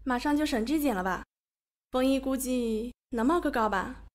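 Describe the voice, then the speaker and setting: A chatty schoolteacher acted by a woman with a high voice, talking in a campus radio drama. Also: normal speed, close to an everyday voice. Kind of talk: amateur campus audio drama acting.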